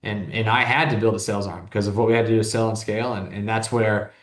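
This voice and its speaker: A man in his thirties, a business owner speaking as a guest, talks quickly and loosely. He rambles and circles back, with lots of "like" and restarted sentences. The room gives his voice a noticeable echo. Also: on the low side, steady tone. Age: 30s. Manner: rambling, heavy use of "like", frequent restarts. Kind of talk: casual interview talk.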